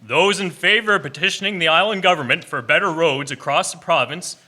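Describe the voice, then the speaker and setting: A man plays an officious Victorian club chairman in a radio play. His voice is fairly high and very sharp, with formal, declamatory delivery that keeps the meeting in order. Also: a little fast. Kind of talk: radio drama acting.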